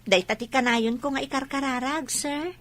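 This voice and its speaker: Woman acting sweet high voiced young lady, ilocano accent, caring n teasing, audio drama. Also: moderate pace, fairly bright tone, a little hiss or hum. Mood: polite. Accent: Filipino, Ilocano. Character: friendly, caring young woman named Sally, respectful and teasing.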